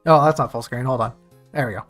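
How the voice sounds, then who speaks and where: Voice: mid-range voice, fast, soft background music. A game streamer chatting casually and jokingly with viewers during a let's-play. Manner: chatty, jokey, talks to chat.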